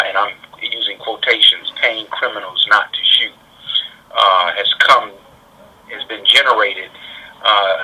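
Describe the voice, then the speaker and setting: An American man being interviewed over the telephone, explaining things in a deliberate, measured, emphatic way. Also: lowish voice, pushed and bright, breathy edge. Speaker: interviewee, community safety director. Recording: radio-like telephone line.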